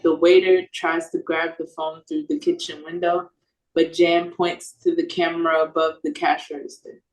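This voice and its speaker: A woman's voice: low-pitched, flat delivery, moderate pace. A woman reading stage directions as scripted narration for an audio play. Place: echoey room, over a video call. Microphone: video call. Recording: roomy, echoing video-call audio.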